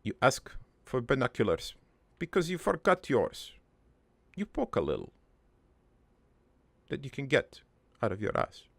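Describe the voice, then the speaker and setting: A man playing a gruff, crude army veteran turned prankster, telling his regretful life story in a halting, matter-of-fact ramble for an improvised cartoon monologue. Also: medium pitch, huge pitch swings, no echo, heavily acted. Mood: proud, mischievous.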